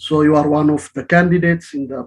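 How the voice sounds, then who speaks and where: A man's voice: fairly high pitch, steady tone. A male community radio host whose first language is Amharic, interviewing a guest with many restarts and fillers. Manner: halting, frequent restarts and fillers. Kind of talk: casual interview.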